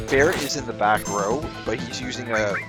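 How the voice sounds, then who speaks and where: A man with a croaky voice, giving relaxed, rambling commentary on a game as its host. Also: medium pitch, moderate pace, over loud music. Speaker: let's play host. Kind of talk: casual gameplay commentary.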